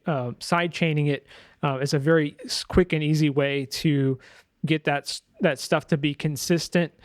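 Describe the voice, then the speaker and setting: A man's voice: on the high side, no room sound, clean studio sound. A man giving an informal sound design tutorial, thinking aloud in a hesitant way as he demonstrates. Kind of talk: informal screen-share tutorial narration.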